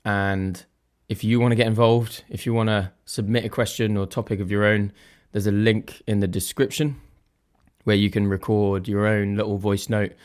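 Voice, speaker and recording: A young man hosting his own podcast, a little croaky, chatting casually and thinking aloud in a self-deprecating way. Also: lowish voice, little pitch movement, normal speed, darkish tone. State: slightly croaky voice. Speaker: podcast host. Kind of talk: casual solo podcast chat.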